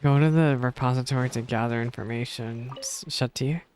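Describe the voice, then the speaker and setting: A man's voice: mid-range voice, fairly even intonation, fairly quick, a little mellow, a little hiss or hum. A man streaming a game, reacting casually, musing to himself and reading text aloud, often repeating phrases.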